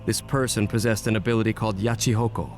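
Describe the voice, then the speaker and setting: Guy doing a deep stern anime ninja dad, calm n measured, very serious, music behind. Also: natural voice. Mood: grave, explanatory. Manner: measured, serious, matter-of-fact. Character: stern, composed ninja father and seasoned investigator. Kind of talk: anime video game cutscene acting. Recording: background music under the dialogue.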